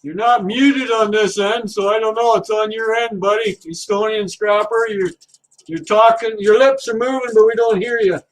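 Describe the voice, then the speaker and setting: A man's voice: high voice, fairly even intonation, moderate pace, low-quality recording. A man hosting a casual livestream, chatting easily with viewers while he works on scrap. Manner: easygoing, chatty, talks to viewers while working. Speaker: livestream host.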